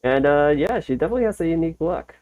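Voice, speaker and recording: A woman's voice: deep voice, expressive, very fast, warm tone, muffled recording. A woman hosting a reaction video with casual, amused commentary and hesitant musing. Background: faint music.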